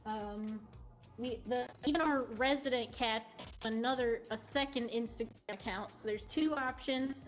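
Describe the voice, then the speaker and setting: A woman's voice: on the high side, rough recording. A woman hosting a live showcase, chatting casually to viewers. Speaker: cat cafe livestream host.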